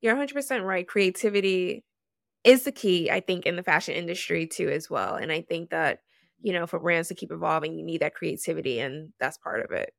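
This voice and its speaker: A woman hosting a podcast interview who reacts quickly and encourages her guest. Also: on the low side, creaky, dry recording, studio quality. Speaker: podcast host.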